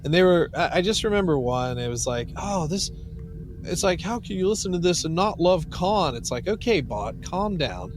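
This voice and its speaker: A man's voice: high voice, sing-song, moderate pace. A man co-hosting a podcast in casual chat, with sarcastic, exasperated asides. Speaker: podcast co-host. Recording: clear background noise.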